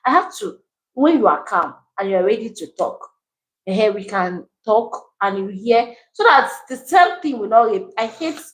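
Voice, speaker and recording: A woman calmly explaining her side in a chat with her husband, speaking in a measured, deliberate way. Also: mid-range voice, rough recording. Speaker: wife co-hosting a couple's channel. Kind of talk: casual chat.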